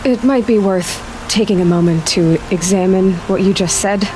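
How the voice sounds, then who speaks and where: An actress playing a determined young huntress in a video game, speaking in a direct, level-headed way. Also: female voice, mid-range voice, normal speed, dark tone, slight background noise, lightly acted, rough recording. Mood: frank, pointed. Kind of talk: video game dramatic dialogue.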